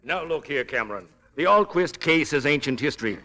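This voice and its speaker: Brisk, higher-pitched police superintendent. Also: male voice, lively intonation, sharp tone, faint music behind. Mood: impatient. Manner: gruff, impatient, authoritative. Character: brisk, dismissive senior Scotland Yard superintendent. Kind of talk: 1940s radio acting.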